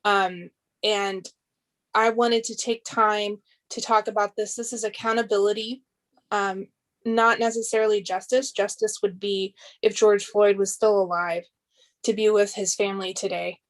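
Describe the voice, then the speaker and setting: A woman's voice: medium pitch, flat delivery, a little slow. A woman serving as a school board member, giving earnest remarks at a public meeting.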